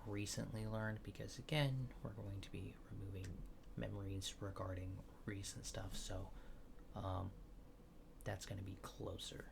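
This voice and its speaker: A man doing a soft-spoken, partly whispered ASMR roleplay as a calm, casual alien examiner, reassuring and hesitant in an unhurried way. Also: on the low side, creaky, background noise.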